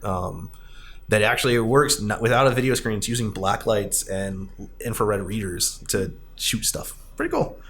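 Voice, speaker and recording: A man's voice: on the low side, a bit bright. Rambling man hosting a casual podcast. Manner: rambling, halting, self-correcting. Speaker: podcast host. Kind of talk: casual discussion of films and arcade games.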